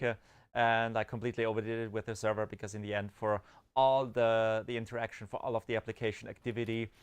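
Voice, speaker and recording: A man chatting on a livestream, his voice smooth and clear in an even middle register. He talks through technical details in long, halting runs, full of filler sounds and restarts. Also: medium pitch. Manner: rambling technical talk, many fillers and restarts. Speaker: developer and podcast co-host. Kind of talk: casual livestream chat.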